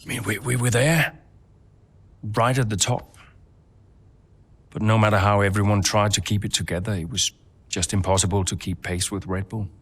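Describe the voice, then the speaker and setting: An actor playing a reflective racing team insider in a video game, talking matter-of-factly as if in a documentary interview. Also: male voice, on the low side, fairly even intonation, normal speed, long pauses, a bit bright, breathy edge. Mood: regretful.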